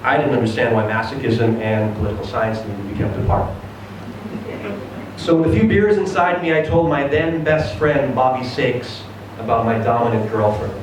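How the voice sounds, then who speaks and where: A man reads a short story aloud with theatrical expression. His mid-pitched voice comes from some distance in a heavily reverberant room, with clear background noise. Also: moderate pace. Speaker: reader of a short story at a live event. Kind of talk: dramatic first-person story reading. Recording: very echoey room, distant mic, noisy.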